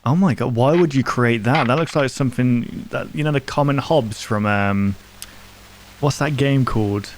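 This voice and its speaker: A man's voice: mid-range voice, moderate pace, noisy background. A man giving casual reaction commentary as a video host, thinking aloud informally and often posing questions. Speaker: YouTube host reacting to viewer-recommended horror videos. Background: prominent music behind the voice.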